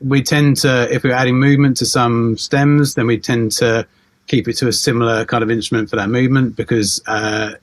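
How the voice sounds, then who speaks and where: A man giving a technical explanation on a panel in a halting, hesitant way, repeating words as he goes. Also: mid-range voice, level tone. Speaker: audio mixer interviewed on a panel.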